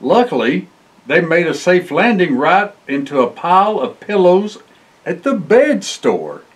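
An older man reading a children's picture book aloud in an expressive way, with exclamations and short asides. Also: sits high, a little slow. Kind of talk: storybook read-aloud for children. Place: indoor library room, seated by bookshelves.

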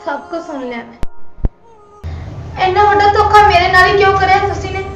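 A woman's voice: extremely high pitch, brisk, a bit bright, muffled recording. A young woman playing a wronged young wife who accuses her mother-in-law through tears. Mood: hurt, accusing. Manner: emotional, tearful pleading. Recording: roomy echo, faint music.

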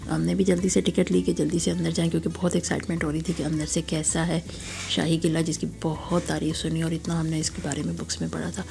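A hoarse woman from Pakistan with a sore throat, narrating a casual, admiring walking tour for her vlog. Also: deep voice, little pitch movement, fast talker, darkish tone, a little hiss or hum. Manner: chatty, admiring, guiding viewers along. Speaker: travel vlogger.

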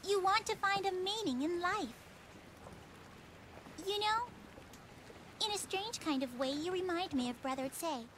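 A woman's voice: very high voice, unhurried, pauses often, noisy background. A young woman playing a headstrong, proud warrior princess in a video game, spirited and forthright. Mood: pensive. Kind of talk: dubbed video game cutscene acting. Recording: game soundtrack music behind.

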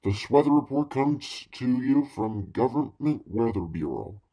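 A man playing a formal 1930s radio news announcer in a radio play, reading bulletins in a husky voice. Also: medium pitch, animated, a little slow, dark tone, poor audio. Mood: measured, formal.

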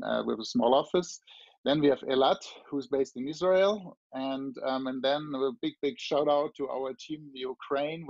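A middle-aged man from Germany with a German accent, speaking casually and haltingly in a video-call Q&A. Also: medium pitch, vocal fry, darkish tone, no echo. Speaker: company co-founder. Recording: slightly dull video-call audio.

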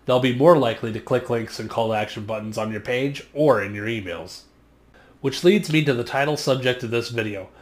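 A man in his thirties, a marketing vlogger, delivering a scripted explainer in a measured, earnest way from a small home studio on a studio microphone. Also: medium pitch. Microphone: studio condenser mic on stand, just off frame.

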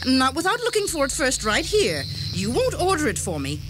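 A woman's voice: sits high, wildly swooping pitch, normal speed, noisy background. A woman playing a prim, disapproving, domineering customer of fifty in a radio story, fussy and insistent. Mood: haughty insistence. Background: music.

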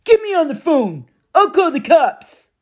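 Brutish bullying stepfather, voiced by a woman narrator: loud, sneering, strained. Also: sits high, big pitch swings, unhurried, pitched above the performer's own voice.

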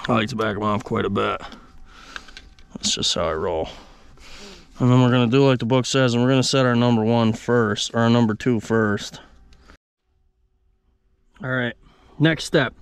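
Man, mechanic host, casual step-by-step repair talk, smooth clear voice, medium pitch. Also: normal speed, pauses often, no echo. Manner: relaxed, talks the viewer through each step. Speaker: mechanic restoring an old diesel engine.

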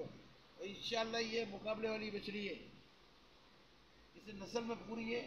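A middle-aged man with a high voice, chatting to camera in an outdoor cattle yard. He repeats himself and strings together pious interjections as he pitches. The sound is a noisy outdoor phone recording with a small hand-held mic. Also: dull audio. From Pakistan. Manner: chatty, repetitive, frequent religious interjections. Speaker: cattle farm vlogger. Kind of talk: casual selling pitch to camera. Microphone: handheld lavalier mic held near mouth.

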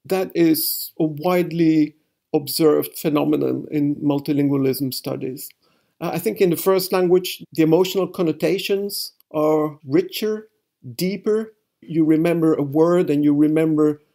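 Gravelly Belgian-born professor, mellow tone. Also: male voice, on the high side, fairly even intonation. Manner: measured, explanatory. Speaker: linguistics professor. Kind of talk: expert interview.

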